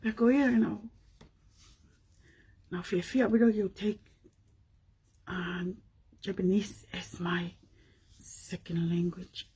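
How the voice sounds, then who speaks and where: A Tongan woman with a Tongan accent, hosting a casual live stream and chatting to viewers, often slipping into a hushed, breathy half-whisper and tagging her points with questions. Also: medium pitch, steady tone, slow pace, pauses often, a little hiss or hum. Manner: switches between languages, chatty, asks listeners tag questions.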